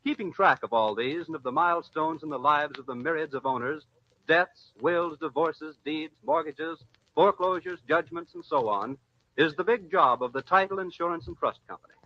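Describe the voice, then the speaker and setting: A man's voice: fairly high pitch, moderate pace, no echo. An American man announcing in a formal, polished 1940s radio style, reading scripted sponsor narration. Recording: dull vintage radio broadcast with music.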